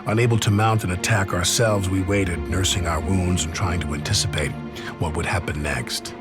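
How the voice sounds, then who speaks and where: A man's voice: sits low, steady tone, normal speed, music in the background, lightly acted. A man playing a sober soldier narrator, giving scripted dramatic war narration for a game. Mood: sober, reflective. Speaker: story narrator.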